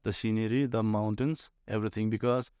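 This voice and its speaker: A man's voice: fairly low pitch, steady tone, fairly quick, a little mellow, clean tone, dry recording, clean studio sound. A man with a Nepali accent answering conversationally in an interview, explaining things and often rephrasing as he goes. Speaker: air traffic controller, podcast guest.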